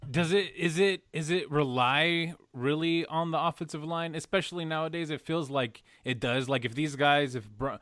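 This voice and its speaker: Brief: male co-host, bright tense tone, rambling questions, dry mic. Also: on the high side, steady tone, normal speed, few pauses, no echo. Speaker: podcast co-host. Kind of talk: casual sports podcast chat.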